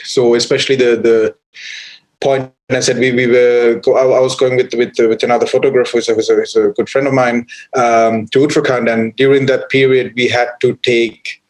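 A man from Sri Lanka speaks as a guest on a podcast. He talks in a halting way, circling back with restarts and fillers while he explains things. His voice sits at a middle pitch. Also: flat delivery, poor audio. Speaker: photographer guest. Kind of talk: podcast interview.

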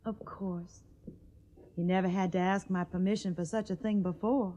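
A woman's voice: lowish voice, steady tone, normal speed, mellow, lightly acted. A woman playing an earnest, tender young farm woman with a Southern accent in a radio drama.

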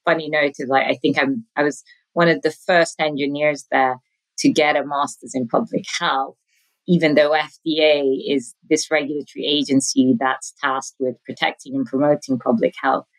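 A woman's voice: deep voice, fairly even intonation, moderate pace, low-quality recording. A woman in her forties, from Sudan with a British accent, chatting as a podcast guest and laughing often. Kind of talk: conversational interview.

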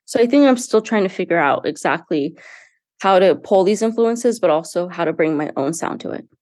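A woman's voice: fairly low pitch, animated, fairly quick, darkish tone, dry recording, studio quality. A young woman, a singer-songwriter, talking earnestly and casually about her music in an interview, with frequent fillers and restarts. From United States. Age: young adult.

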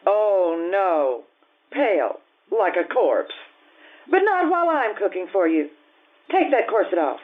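An actress playing a blunt, warm-hearted Italian innkeeper in an old-time radio drama, earthy and frank in manner. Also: female voice, mid-range voice, sing-song, unhurried, phone-quality sound. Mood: blunt, bossy.

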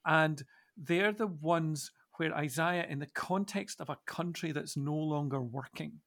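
A man co-hosting a reflective, conversational Bible discussion, musing in a halting but emphatic way. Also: a little high, fairly bright tone. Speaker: podcast co-host.

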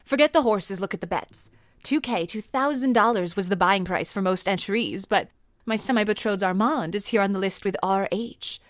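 Woman playing sultry nightclub informant, teasing, sardonic, sharp-tongued, noir radio comedy, dry close sound. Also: mid-range voice, moderate pace, no room sound, close to an everyday voice. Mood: knowing, amused. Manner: flirtatious, sardonic, wordplay-heavy banter. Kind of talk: noir comedy audio drama acting.

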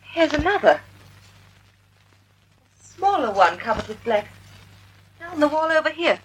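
A woman's voice: high voice, very animated, measured pace, a little hiss or hum, rough recording. An actress playing an elegant, nervous and loyal lady companion to a sleuth in a 1940s-style radio mystery. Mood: hushed unease. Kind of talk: 1940s radio drama acting.

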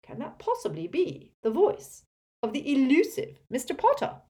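A woman's voice: sits high, huge pitch swings, moderate pace, warm tone, pitched above the performer's own voice. A woman voicing a pompous, indignant old male wizard in a talking portrait, in an audiobook. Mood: disdainful. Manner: haughty, supercilious, scornful. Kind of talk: audiobook character acting.

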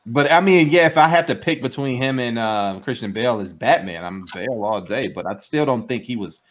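A man's voice: medium pitch, brisk, a little hiss or hum. A man co-hosting a podcast, giving casual opinions in a laid-back, slangy way. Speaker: podcast co-host. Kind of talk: casual chat, movie opinions.

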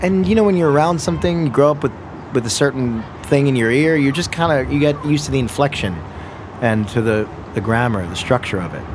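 A man in his thirties, a musician, telling stories in a rambling, animated way in casual conversation, laughing often. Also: mid-range voice, brisk, noisy background. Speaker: musician and podcast guest.

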